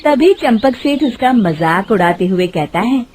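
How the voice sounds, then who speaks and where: A woman narrating a scripted cartoon story in an expressive, dramatic way. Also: a little high, unhurried.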